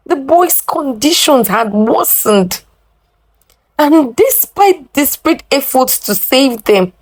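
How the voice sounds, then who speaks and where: A woman telling a folktale in a dramatic, deliberate, emotive way with drawn-out pauses. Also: medium pitch, a little mellow, rough recording. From Nigeria. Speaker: storyteller. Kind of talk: dramatic oral folktale narration.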